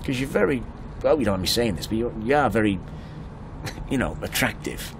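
A man's voice: medium pitch, animated, normal speed. A man acting a chatty, probing motorist in a naturalistic radio drama, speaking hesitantly over car noise. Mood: awkward, probing. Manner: hesitant, probing small talk. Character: chatty, probing male motorist, insurance assessor. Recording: clear vehicle noise around the voice. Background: car engine and road ambience.

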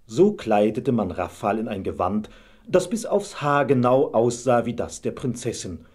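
Husky male fairy-tale storyteller. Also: medium pitch, lively intonation, a little slow, darkish tone. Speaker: storyteller, narrator. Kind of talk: scripted fairy-tale narration.

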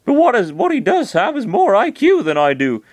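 A man's voice: high-pitched, wildly swooping pitch, normal speed, dry recording, voice pushed up, heavily acted. A man doing a stammering, nervous young hero. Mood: anxious. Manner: stammering, hesitant.

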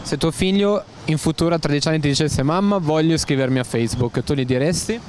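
A man conducts street interviews, firing off formal questions at a fast clip. His voice sits mid-range, with faint street sound behind. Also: a little high, background noise. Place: outdoors on a town street.

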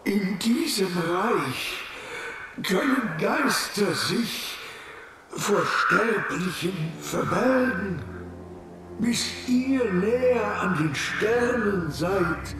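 An actor voicing a solemn, cryptic spirit envoy in a video game, grave and measured. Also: mid-range voice, animated, background noise. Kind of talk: scripted video game dialogue. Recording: distant, heavily echoing, over music.

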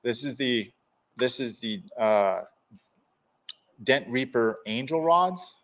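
A man's voice: medium pitch, animated, slow, fairly bright tone. A man in his forties in a garage workshop, casually explaining dent repair tools to a live audience. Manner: casual, hands-on, chatty with viewers. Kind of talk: live-stream tool demonstration.

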